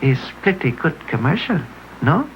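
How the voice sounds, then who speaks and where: Vintage ad narrator, female, very animated low voice, broken English phrasing, dull noisy old broadcast sound. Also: normal speed. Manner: broken, pidgin-style English delivery. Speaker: commercial narrator. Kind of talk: vintage TV commercial storytelling.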